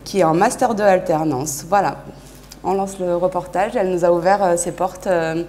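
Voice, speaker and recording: A young woman presenting a student TV show and interviewing a guest, speaking in a relaxed, friendly way with frequent hesitations. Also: fairly low pitch, moderate pace, slight background noise. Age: young adult. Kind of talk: interview and live studio presentation.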